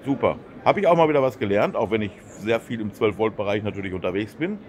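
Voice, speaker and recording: A man's voice: lowish voice, lively intonation, fast. A man hosting a casual interview at a trade fair, chatty and friendly with lots of agreeing. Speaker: host. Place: exhibition hall.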